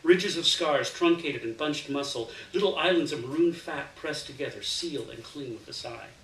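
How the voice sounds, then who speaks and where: A man reads a first-person literary story aloud as an expressive storyteller, performing the dialogue within the narration. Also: on the high side, normal speed. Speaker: story reader. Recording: roomy, distant mic, faint hiss.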